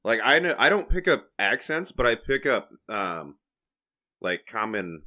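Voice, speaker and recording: A man's voice: medium pitch, sing-song, measured pace, sharp tone. A man co-hosting a casual podcast chat, cracking joking asides with some swearing. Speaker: podcast co-host.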